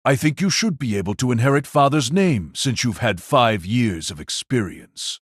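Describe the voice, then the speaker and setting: A man's voice: mid-range voice, expressive, normal speed, breathy edge, dry recording, clean studio sound, pitched below the performer's own voice. A man playing a cold, mocking elder brother, an elite warrior, in an audio drama, speaking in a frank, condescending way. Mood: blunt, breezy.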